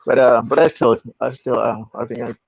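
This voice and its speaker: A soft-spoken man from Mexico City with a Mexican Spanish accent, a native Spanish speaker answering hesitantly with fillers over a video call. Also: mid-range voice, little pitch movement, brisk, vocal fry, slightly rough, no echo. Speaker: guest illustrator. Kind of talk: interview answers. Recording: muffled, dry video call audio.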